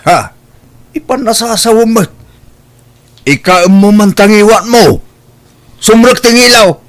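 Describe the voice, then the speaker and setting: A man's voice: high-pitched, measured pace. An actor playing a doting old father who fusses over and coaxes his grown daughter in a radio-style comedy drama. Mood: fussing, coaxing. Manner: fussing, coaxing, exclamatory.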